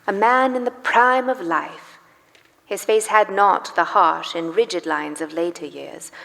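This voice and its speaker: A woman narrating a live radio play in expressive, literary storytelling style. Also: on the low side, creaky. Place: church hall, live performance. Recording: live in reverberant church hall with music.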